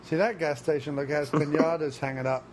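Guy rambling through a movie podcast, bit high-pitched, faint hiss behind. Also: steady tone, fairly quick. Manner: rambling, joking, many fillers. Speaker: podcast co-host. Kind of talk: crude comedic movie commentary.

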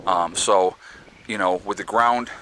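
A man with a Northeastern American accent gives a casual outdoor update, recorded on a handheld camera. His voice is bright and forceful, with a faint hiss of background noise. He rambles, with many fillers and restarts. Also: low-pitched, a little slow. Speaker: homesteading vlogger. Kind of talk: casual walk-and-talk vlog. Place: outdoors on a rural homestead.

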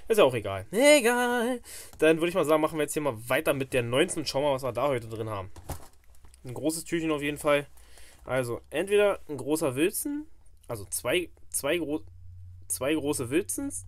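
A man hosting a casual fishing unboxing vlog, chatty and rambling as he thinks aloud, filmed indoors at a table on a camera mic. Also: mid-range voice. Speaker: fishing channel host.

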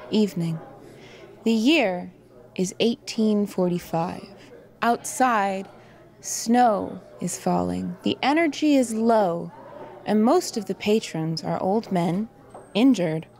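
A woman's voice: mid-range voice, creaky, darkish tone. A woman reading scripted narration and stage directions for an audio drama.

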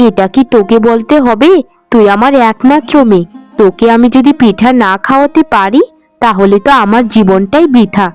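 A woman performs a doting cartoon mother from a comfortable household. Her voice is high, indulgent and dramatic, with music behind it. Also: normal speed, darkish tone, lightly acted. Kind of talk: Bengali cartoon voice acting.

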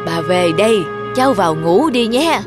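A woman playing a gentle, timid elderly grandmother who sells snacks, in a cartoon. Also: medium pitch, lively intonation, normal speed, music in the background, close to an everyday voice. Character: kind, slow, timid elderly grandmother street vendor.

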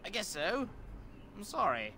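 Bandit boss for fantasy game: high, sharp, oily and cowardly, faint ambience behind. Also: male voice, huge pitch swings, measured pace, a little hiss or hum, full character voice. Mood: nervous, pleading. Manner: mock-polite, smooth-talking. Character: smarmy bandit leader running a toll, cowardly when beaten.

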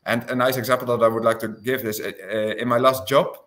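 A man in his thirties from Belgium answering conversationally as a panelist on a video call, halting and repeating words. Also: mid-range voice, flat delivery, a bit bright. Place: small indoor space on a video call.

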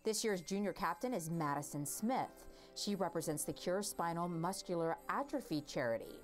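A woman's voice: lowish voice, moderate pace, soft background music. A woman co-hosting a parade broadcast with cheery, playful, upbeat scripted commentary.

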